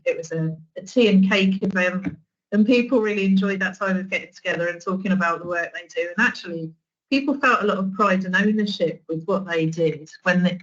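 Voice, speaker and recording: A woman's voice: medium pitch, highs cut off. A woman in her forties, a social work trainer, giving an online training talk in a conversational way, with restarts and fillers.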